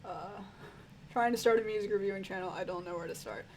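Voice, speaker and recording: A woman with a croaky voice, a music reviewer answering viewer questions in a rambling, casual way full of fillers. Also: medium pitch, fast, a little hiss or hum, low-quality recording. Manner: rambling, filler-heavy, frank and swearing. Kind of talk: casual Q&A chat.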